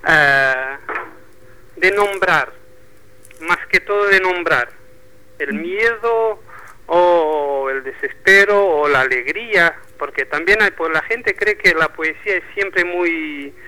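A Portuguese man speaking Spanish as a radio guest, thoughtful and halting, often repeating words as he searches for them. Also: on the high side, pushed and bright, low-quality recording. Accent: Portuguese speaking Spanish, with a touch of Argentine Spanish. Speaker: poetry bookshop owner, guest. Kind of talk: radio interview.

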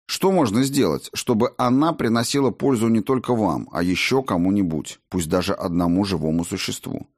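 A man reads a book aloud as a narrator, in a measured, instructive way. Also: fairly low pitch, darkish tone, no echo, clean studio sound. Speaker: audiobook narrator. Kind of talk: scripted book narration, first-person story and instruction.

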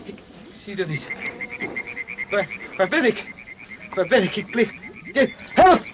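A man's voice: high voice, very animated, takes it slowly, full character voice. An actor playing a desperate man sinking in a swamp in a radio drama, exclaiming frantically. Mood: panicked. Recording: noisy old radio play recording.

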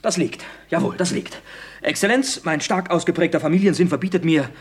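A man's voice: a little high, brisk, breathy edge. A man playing a wily, effusively polite actor in a comic German radio play, speaking in a florid, mock-deferential way. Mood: pompous, evasive. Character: wily, effusively polite male actor scheming around a theatre director.

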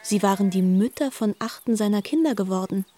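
A woman's voice: mid-range voice, fairly quick, warm tone, no room sound. A woman reading scripted literary narration in a radio drama.

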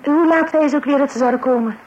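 An actress playing an assertive, chatty wife in a radio play, with a husky voice. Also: female voice, high voice, fast talker, darkish tone, slight background noise, natural voice. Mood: bright, chatty. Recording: dull old radio recording.

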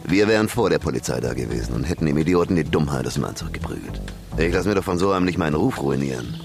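An actor playing a slick, self-assured red-light boss in a German radio drama, jovial and expansive with menace underneath. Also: male voice, extremely low pitch, lively intonation, fast talker, gravelly, music in the background, heavily acted. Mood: hard, threatening.

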